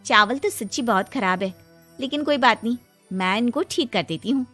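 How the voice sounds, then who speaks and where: Woman acting a high-pitched, whiny thrifty housewife. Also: moderate pace, sharp tone, faint music behind. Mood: breezy. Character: thrifty, stubborn young housewife, defensive and naive. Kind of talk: Hindi cartoon moral story acting.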